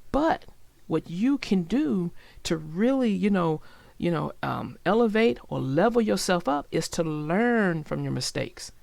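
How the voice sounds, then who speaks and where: A woman reading a children's story aloud and then talking gently about its lesson. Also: medium pitch, creaky, no echo. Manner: gentle, reflective, explains morals.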